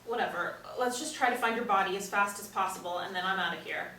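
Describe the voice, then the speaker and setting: A woman's voice: medium pitch, fast talker, bright, forceful tone. An actress at a table read playing an impatient, blunt woman drawn into a mystery. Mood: exasperated. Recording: echoey room, distant mic, faint noise.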